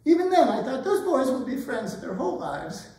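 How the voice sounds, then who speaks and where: An older woman playing a gentle, wistful retired schoolteacher in a dramatic stage reading, musing and hesitant. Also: high-pitched, sing-song, normal speed. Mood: fond, reminiscing. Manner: hesitant, musing, tender, asking questions. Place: indoor arts center stage. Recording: roomy, distant stage recording.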